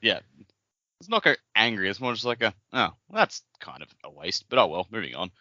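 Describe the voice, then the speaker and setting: A man's voice: fairly low pitch, wildly swooping pitch, fairly quick, sharp tone, dry recording, dull audio. A man co-hosting a podcast in loose, casual conversation. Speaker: podcast co-host. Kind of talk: casual chat.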